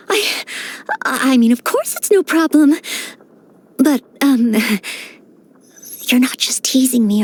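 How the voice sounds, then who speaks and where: Game role: teenage maid knight. High, very animated delivery, courteous, earnest, eager to serve. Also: female voice. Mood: flustered. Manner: polite, formal, earnest, dutiful. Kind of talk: video game character voice lines. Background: background music.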